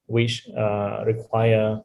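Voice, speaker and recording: Explaining man, low voice. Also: completely flat delivery, very slow, gravelly, darkish tone. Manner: explanatory, often checks in with "right?". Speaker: company executive. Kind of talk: interview answers.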